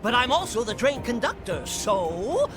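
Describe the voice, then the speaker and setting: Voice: medium pitch, big pitch swings, unhurried. A singsong spiny train conductor. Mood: jolly. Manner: folksy wordplay, singsong delivery. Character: quirky spiny creature who works as a train conductor. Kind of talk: game cutscene voice acting. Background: game score music.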